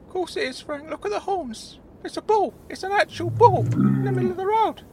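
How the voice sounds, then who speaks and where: A man's voice: sing-song, fairly quick, creaky, heavily acted. A man voicing a timid, grumbling older companion who fusses and protests anxiously in a comic audio story. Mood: hushed, alarmed. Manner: fussy, anxious, hushing and protesting. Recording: faint rumbling background noise.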